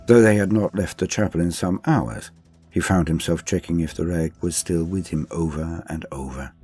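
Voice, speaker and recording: A man's voice: sits low, moderate pace, darkish tone. A man giving a solemn, theatrical dramatic reading of a science fiction war story as its narrator.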